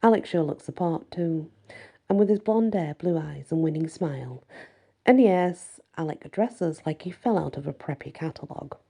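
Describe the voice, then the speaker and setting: Female ASMR story reader: very dark timbre, husky texture, first-person fantasy narration. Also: sits low, expressive, normal speed.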